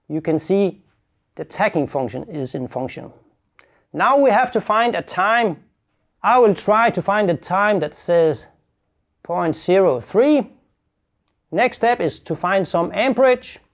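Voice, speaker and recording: A man's voice: high voice, gravelly. A man in his fifties giving a plain, step-by-step instructional presentation as a technical specialist, recorded on a lapel mic. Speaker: welding specialist presenting a product tutorial.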